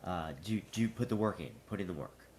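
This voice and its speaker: Deep-voiced, stuffy man. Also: fairly quick, a little mellow, a little hiss or hum. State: stuffy, sniffly. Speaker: vlogcast co-host. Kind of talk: casual chat.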